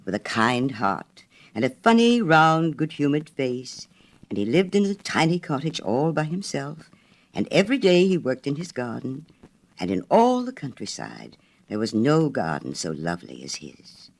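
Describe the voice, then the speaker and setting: A man narrating a children's story from a script as an expressive storyteller. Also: mid-range voice, moderate pace, creaky.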